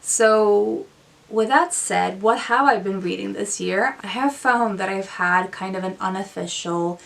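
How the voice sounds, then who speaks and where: A woman's voice: medium pitch, measured pace, gravelly, fairly bright tone, distant mic. A young woman whose first language is Swedish, talking about her reading in a thoughtful casual vlog, with frequent ums and self-corrections, recorded at home in front of bookshelves. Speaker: book vlogger. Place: indoors, small room with bookshelves.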